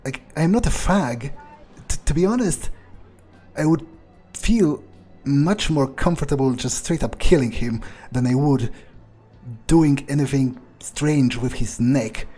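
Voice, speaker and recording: A man improvising in character as a newly turned vampire in a game, bewildered, irritable and foul-mouthed, muttering in halting, incredulous repetitions with a husky voice. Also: medium pitch, mellow, faint music behind. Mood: uneasy, bewildered.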